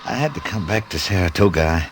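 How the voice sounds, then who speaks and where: An actor playing a shabby, down-on-his-luck older horse player, polite and halting, in 1940s radio style. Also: sits low, expressive. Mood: polite, wistful. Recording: noisy old 1940s radio recording.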